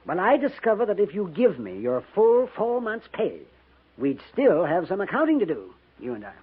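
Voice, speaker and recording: A man's voice: high voice, wildly swooping pitch, moderate pace, husky, full character voice. A man playing a fussy, sly storekeeper in a 1950s radio western, drawling and hemming with mock sympathy and repeating himself. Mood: weary, firm. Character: fussy, sly storekeeper, politely sarcastic with debtors. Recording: muffled vintage 1950s radio recording.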